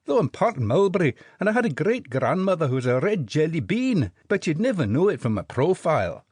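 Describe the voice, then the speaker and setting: A man voicing a tiny, eager male jelly baby soldier with a Scottish accent, reporting in brisk staccato bursts. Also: fairly high pitch, very animated, a little mellow, husky, dry recording, studio quality, pitched above the performer's own voice. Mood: chirpy.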